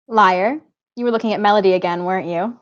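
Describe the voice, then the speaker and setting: A woman's voice: medium pitch, normal speed, natural voice. A young woman reading a teasing female college student in an amateur table read over a lo-fi call. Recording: dull lo-fi online call.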